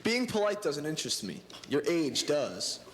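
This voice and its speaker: A student playing a curt audition director. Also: male voice, fairly high pitch, sing-song, normal speed, a little hiss or hum. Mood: brusque. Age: young adult. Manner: curt, commanding. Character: brusque male director running auditions. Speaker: stage director. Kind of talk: school stage play.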